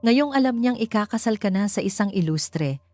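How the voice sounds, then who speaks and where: A woman's voice: mid-range voice, animated, moderate pace, darkish tone, soft background music, natural voice. A woman voicing a haughty, manipulative older wealthy mother with a commanding, scornful manner in a dramatic story.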